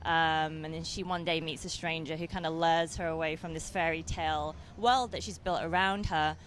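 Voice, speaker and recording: A woman's voice: sits low, steady tone, normal speed, a little hiss or hum. A young adult woman from England with an English accent, answering interview questions as a film director, hesitant with many fillers and restarts.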